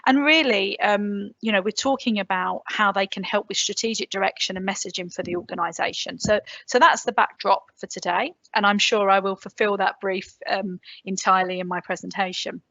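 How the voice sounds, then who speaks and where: A woman's voice: medium pitch, steady tone, brisk, creaky, few pauses, a bit bright, no echo, highs cut off. A woman with a London accent giving an online conference talk, chatty, with many "um"s and light asides. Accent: London English. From England. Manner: chatty, filler-heavy, self-deprecating asides. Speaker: conference presenter. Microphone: computer mic on a video call.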